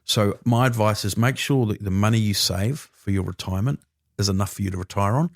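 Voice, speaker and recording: A man in his fifties with a New Zealand accent and a lowish voice. He explains finances as an interview guest in a relaxed, conversational way, full of quick agreements and asides. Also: vocal fry. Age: 50s. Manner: relaxed, chatty, frequent filler agreements. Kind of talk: casual interview chat.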